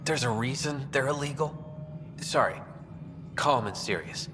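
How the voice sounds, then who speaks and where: A man's voice: mid-range voice, unhurried, background noise, close to an everyday voice. An actor playing a blunt, jittery male tech expert on a spaceship crew in a video game. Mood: self-calming. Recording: reverberant, with loud music behind.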